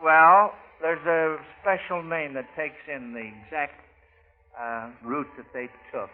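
High-voiced American quizmaster on old radio. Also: male voice, fairly even intonation, takes it slowly. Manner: hesitant, folksy, chuckling, repeats himself. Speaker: quizmaster hosting a children's radio quiz. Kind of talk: old-time American radio quiz hosting. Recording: narrow-band old radio broadcast.

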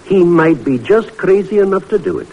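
An actor playing a wry, skeptical senior professor in an old-time radio drama, musing deliberately and teasing drily. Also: male voice, sits high, very animated, breathy edge, phone-quality sound, heavily acted. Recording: vintage radio recording with hiss.

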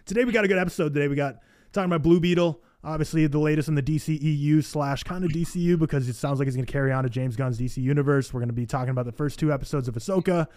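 A man's voice: on the high side, racing, a little mellow, no echo, clean studio sound. A young man hosting a casual movie podcast over a video call, upbeat and chatty as he introduces his guests. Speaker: podcast host.

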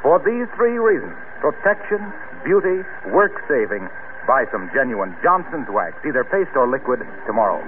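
Animated old-time radio announcer, male, tinny. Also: medium pitch. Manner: polished, emphatic, deliberate phrasing. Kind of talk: 1930s-40s scripted radio announcing and sponsor pitch. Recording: tinny, faintly noisy old radio broadcast.

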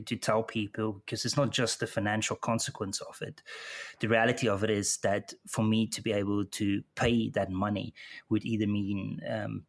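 A middle-aged man with a South African accent, telling his own story in an interview, halting and often repeating words as he restarts. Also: fairly low pitch, gravelly. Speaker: interview guest. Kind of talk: personal account in conversation.

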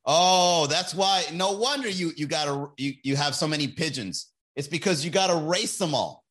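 Bright-voiced man joking on a stream. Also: fairly high pitch, lively intonation, normal speed. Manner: loose, profane, joking. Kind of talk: casual comedic live stream.

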